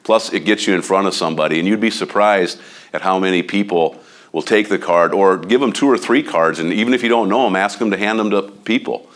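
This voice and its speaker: A man with a Midwestern American accent giving a casual training talk to real estate agents, loud, blunt and a bit overbearing. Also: low voice, brisk. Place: office meeting room.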